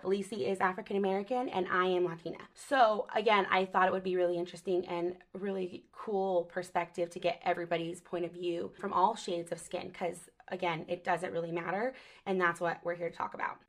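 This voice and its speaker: A woman's voice: fairly low pitch, steady tone, a little fast. A woman hosting a podcast, talking earnestly and casually and affirming her guests often. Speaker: podcast host. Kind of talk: casual conversation.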